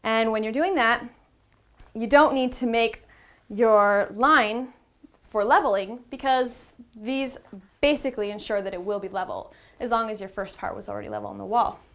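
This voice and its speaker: A woman with a somewhat high voice gives a how-to demonstration. She explains each step informally, like an instructor talking a learner through a task. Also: moderate pace, a bit bright. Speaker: instructor presenting a how-to series.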